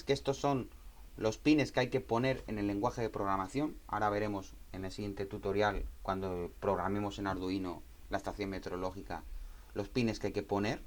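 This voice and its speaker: A man giving an informal hands-on technical tutorial, explaining step by step and often repeating and rephrasing as he goes. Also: fairly low pitch. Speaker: tutorial presenter.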